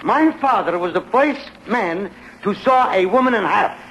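An actor playing a gloomy, self-deprecating sad sack in 1950s radio comedy, deadpan and mournful, with odd foreign-style word order. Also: male voice, sits high, a little slow, exaggerated voice. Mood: glum deadpan. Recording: very noisy old radio recording.

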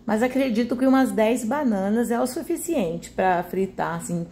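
A woman's voice: on the high side, animated, moderate pace, warm tone, a little hiss or hum. A Brazilian woman giving a casual recipe voice-over in a chatty, homely way, often adding little tag questions to check agreement. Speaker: home cooking video host.

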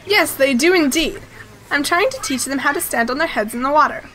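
A woman's voice: high-pitched, lively intonation, a little fast, fairly bright tone, low-quality recording. A woman playing a prim, patient mother duck with a proper manner in an audio drama. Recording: clear background noise, animal ambience.

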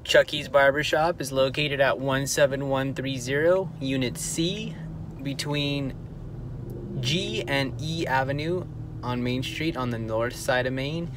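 A man's voice: medium pitch, unhurried, background noise. An older man vlogging outdoors as he walks a city street, talking in a chatty, musing way and often breaking off to rethink his words. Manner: chatty, musing, self-interrupting. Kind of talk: casual walking vlog.

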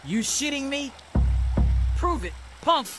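A man's voice: very high-pitched, sing-song, slow pace, background noise, full character voice. A man voicing a brash young squirrel street pusher in a video game, foul-mouthed, sneering and combative. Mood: angry, sneering. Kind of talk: video game dialogue acting. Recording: music behind the voice.

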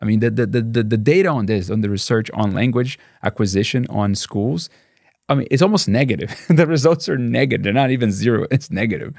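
Man, brazilian portuguese accent, rapid speech, gravelly edge, dry studio sound, repeats words as he talks. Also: mid-range voice, no room sound. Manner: fast, animated, many restarts and repeated words. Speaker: statistics professor, guest. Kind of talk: casual interview conversation. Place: dark podcast studio. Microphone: studio mic on boom arm, headphones.

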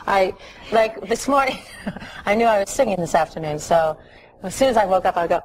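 A woman's voice: medium pitch, lively intonation, a little fast, rough recording. A woman in her thirties, a singer, answering interview questions on a TV studio set, laughing easily and thinking aloud. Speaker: singer, guest. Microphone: lapel mic. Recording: faint hiss, old TV tape.

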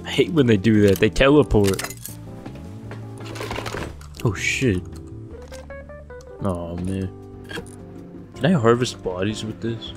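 A young man with a deep, low voice talks through his gameplay in a loose, joking way, cursing when things go wrong and thinking out loud as he goes. Faint music runs under his voice. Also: takes it slowly, pauses often. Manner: swears often, jokes, thinks aloud. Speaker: streamer playing a survival game. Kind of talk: casual gameplay commentary.

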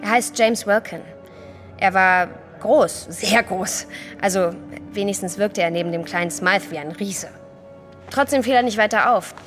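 A woman's voice: medium pitch, a little slow, over music, natural voice. An actress playing a frightened, indignant young woman in an audio drama, stammering hesitantly at times and speaking emphatically. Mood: indignant, chatty. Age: young adult.

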